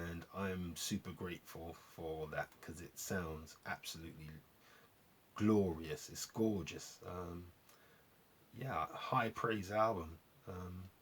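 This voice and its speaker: A man hosting a vlog, chatting casually and appreciatively in a rambling, gentlemanly way as they review records. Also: low voice, breathy edge. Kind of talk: casual album review chat to viewers.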